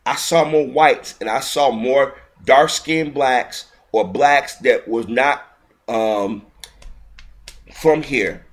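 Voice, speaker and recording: A man's voice: medium pitch, slow. A man giving a heated, indignant video commentary, often restarting his sentences mid-thought. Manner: heated, indignant, repetitive ranting with frequent restarts.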